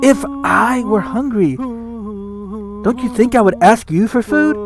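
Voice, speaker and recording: A man's voice: high-pitched, takes it slowly. A man giving a solemn, declamatory, theatrical reading of scripture. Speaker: scripture reader. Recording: music under the voice. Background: music.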